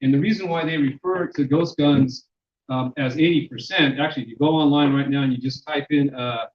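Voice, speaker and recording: A man giving a public lecture as a sociologist, with a loud voice and many fillers and restarts. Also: medium pitch, fairly quick. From Mexican-American. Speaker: sociologist presenter. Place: lecture room. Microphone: handheld microphone, then none. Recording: echoey hall, dull sound.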